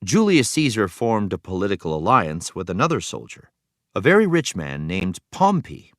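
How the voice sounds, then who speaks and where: A man reading an educational text aloud as a teacher, in an explanatory way with clear phrasing for young learners. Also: on the low side, wildly swooping pitch, moderate pace, no echo, clean studio sound. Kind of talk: read-aloud educational narration.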